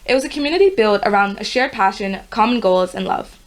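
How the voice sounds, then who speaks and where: A young woman from Guyana, a podcast guest, reading her college admissions essay aloud in an earnest, steady way. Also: medium pitch, fairly quick, a little hiss or hum. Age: young adult.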